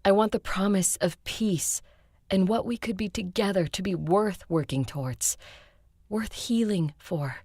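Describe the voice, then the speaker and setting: A woman playing an ancient vampire leader in an audio drama, earnest, measured and resolute. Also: on the low side, dry recording, studio quality. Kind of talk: audio drama acting and first-person narration.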